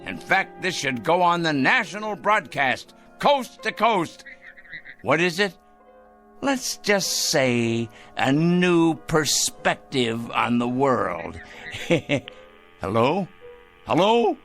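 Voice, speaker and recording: A man's voice: a little high, sing-song, takes it slowly, vocal fry, a bit bright, faint music behind, exaggerated voice. An actor playing a paranoid, smug middle-aged man in a 1960s TV drama, talking theatrically to his pet bird. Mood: scheming. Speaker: fanatical letter-writing crank.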